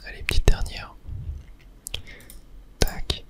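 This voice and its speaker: A gamer giving softly whispered ASMR commentary on a video game, with small clicky interjections. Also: slow. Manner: soft unvoiced whispering with small mouth-sound interjections. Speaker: gaming ASMR creator.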